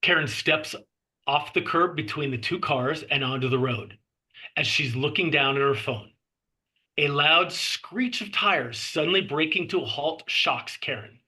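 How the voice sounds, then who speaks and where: A man's voice: fairly high pitch, unhurried, bright, forceful tone. A man reading screenplay action lines and scene headings as narrator at a table read. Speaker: stage directions reader.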